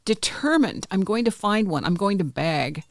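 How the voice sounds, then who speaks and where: A host in a casual interview, talking in an earnest, chatty way and giving quick affirmations. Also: medium pitch, expressive, no room sound, studio quality. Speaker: podcast host.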